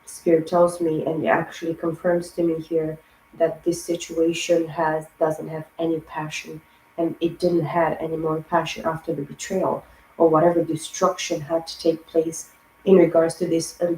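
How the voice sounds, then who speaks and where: A woman giving a rambling spiritual tarot reading, hoarse and losing her voice, piling up words in long lists. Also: sits low, monotone, normal speed, dark tone, roomy sound, a little hiss or hum, low-quality recording. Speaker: tarot reader.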